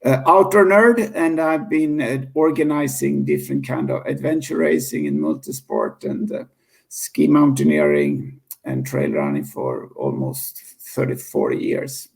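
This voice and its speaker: A man's voice: mid-range voice, sing-song, gravelly. A man in his fifties from Sweden with a Swedish accent, speaking as a guest in a casual interview, often restarting and correcting himself as he goes. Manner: halting, many restarts and self-corrections, laughs easily.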